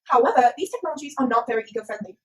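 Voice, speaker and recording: A woman's voice: high voice, lively intonation, racing, a bit bright. A woman voicing a cheeky puppet presenter, theatrical and slangy, in a scripted comic science explainer. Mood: cheeky explaining. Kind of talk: scripted comic educational narration.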